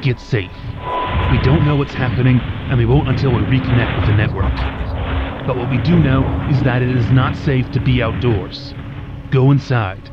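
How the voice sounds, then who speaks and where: A man's voice: medium pitch, normal speed, very noisy, natural voice. An actor playing a grave male emergency broadcaster, giving terse, emphatic warnings with urgency. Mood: urgent, grave. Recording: dull lo-fi broadcast, loud music.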